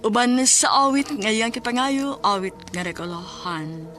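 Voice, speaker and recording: A woman with a Cebuano accent reading scripted radio drama narration as host, formal and measured. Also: fairly high pitch, lively intonation. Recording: music bed under the voice. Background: music.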